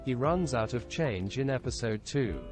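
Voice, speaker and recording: A man's voice: mid-range voice, expressive, normal speed, over music. A man joking along in a game stream with dry, wry banter. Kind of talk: joking banter, mock episode synopses.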